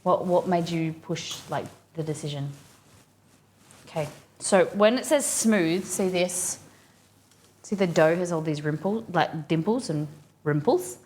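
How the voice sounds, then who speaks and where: A woman's voice: on the low side, a little slow, a little mellow, a little hiss or hum. A woman with an Australian accent, hosting a casual cooking live stream and chatting informally with viewers as she thinks aloud.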